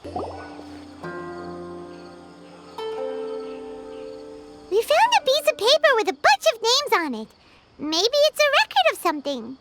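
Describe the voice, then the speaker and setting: A woman voicing a tiny, childlike, earnest and curious fairy companion in a video game, squeaky. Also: wildly swooping pitch, fairly quick, heavily acted. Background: game music.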